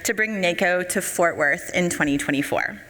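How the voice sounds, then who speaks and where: A woman hosting a conference, making measured stage announcements from the podium in a large hall. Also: lowish voice, fairly bright tone. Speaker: conference host. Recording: reverberant hall with faint noise.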